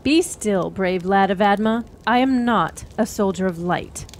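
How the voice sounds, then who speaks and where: A woman playing a cryptic, sorrowful wise woman who counsels youths in measured riddles in a fantasy radio drama. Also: mid-range voice, a little mellow, close to an everyday voice. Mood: sorrowful, calm. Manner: measured, riddling, archaic storyteller phrasing.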